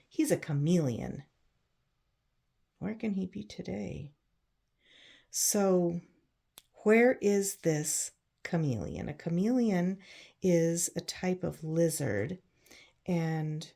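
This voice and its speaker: A woman reads a children's picture book aloud, pausing to explain simply and put questions to her young listeners. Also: on the low side, gravelly, darkish tone. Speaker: read-aloud storyteller for children. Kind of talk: picture book read-aloud with chatty asides.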